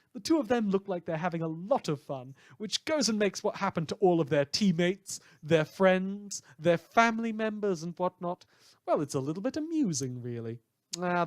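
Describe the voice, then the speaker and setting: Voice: mid-range voice, dry recording, studio quality, lightly acted. A performer playing a chatty, wry radio news host in a superhero world, theatrical and teasing, rambling and correcting themself in a fan audio drama. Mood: amused, gossipy.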